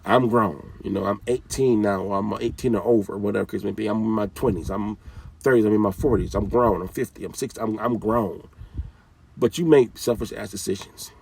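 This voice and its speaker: Man, low voice, husky, direct scolding talk, casual slang, heard from away from the mic. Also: brisk, darkish tone, distant mic. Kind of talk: informal motivational talk.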